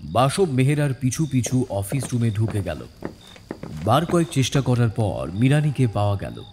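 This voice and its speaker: A man's voice: mid-range voice, big pitch swings, normal speed, noisy background. A man narrating a scripted detective story in a dramatic storytelling style.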